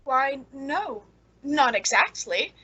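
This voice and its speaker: An actress plays a lonely, genteel woman of old radio suspense, with a very high, bright voice. She takes her time, hesitating politely and fluttering with nervous eagerness. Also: expressive, slow pace, dry recording, muffled recording, heavily acted. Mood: uneasy, probing.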